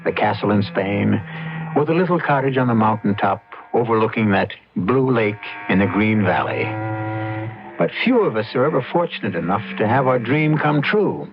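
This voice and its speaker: A man hosting an old-time radio drama, narrating from a script as a measured storyteller. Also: on the low side, lively intonation, music in the background. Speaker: host and narrator. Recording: dull vintage radio broadcast.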